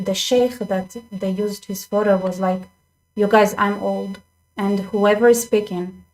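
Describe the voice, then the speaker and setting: A woman's voice: mid-range voice, a little mellow, low-quality recording. A young woman hosting her own channel, talking casually to viewers with lots of restarts and asides. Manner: chatty, restarts often, addresses viewers directly. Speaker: channel host. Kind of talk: casual commentary to camera. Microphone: desk microphone.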